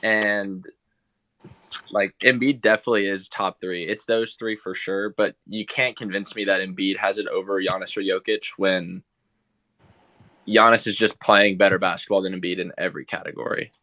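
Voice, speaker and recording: A man's voice: deep voice, fairly even intonation, normal speed, pushed and bright, no room sound. A man in a casual discussion, arguing his point earnestly and insistently. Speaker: call-in participant.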